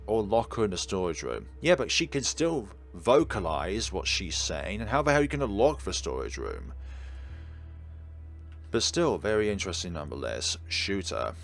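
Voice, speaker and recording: Deep-voiced, animated man narrating a game. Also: normal speed, gravelly. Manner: wry asides. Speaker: let's play host. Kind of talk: reading a visual novel aloud with commentary. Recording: music behind the voice.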